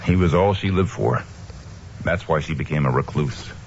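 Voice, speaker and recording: An actor playing a steady, principled investigator in an old-time radio mystery, speaking in a measured, firm manner. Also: male voice, rumbling low. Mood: matter-of-fact. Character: steady, principled male investigator narrating his own case. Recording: dull noisy old radio recording.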